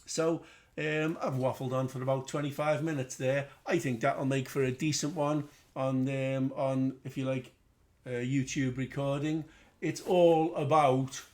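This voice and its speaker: A man's voice: mid-range voice, steady tone, a little slow, a little mellow. A middle-aged man with a Liverpool accent, hosting a casual trading webinar in a chatty, rambling way with self-deprecating asides. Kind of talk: casual live webinar teaching.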